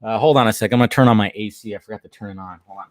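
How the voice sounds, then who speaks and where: A young man with a hoarse voice, chatting casually and rambling to his live stream viewers from home. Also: fairly low pitch, racing, no echo, clean studio sound. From Southern California. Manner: rambling, chatty, reads chat aloud, laughs often.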